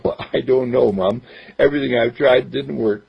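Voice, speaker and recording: A man voicing an earnest ten-year-old boy who is eager to help, speaking higher and somewhat whiny. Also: moderate pace, gravelly, slightly rough, dull audio.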